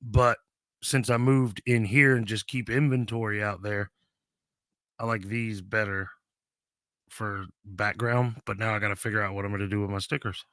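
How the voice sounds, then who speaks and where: A man's voice: lowish voice, fairly even intonation, moderate pace, fairly bright tone, no room sound, clean studio sound. A man hosting a casual livestream, losing his voice, laid-back and laughing often. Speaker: livestream host. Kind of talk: casual chat.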